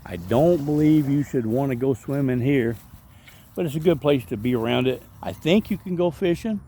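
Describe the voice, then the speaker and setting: A man's voice: medium pitch, normal speed, mellow, a little hiss or hum. A middle-aged man chatting casually as he vlogs his walk through an outdoor park, rambling with plenty of ums and asides. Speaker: vlogger and street evangelist. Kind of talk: casual walking vlog narration.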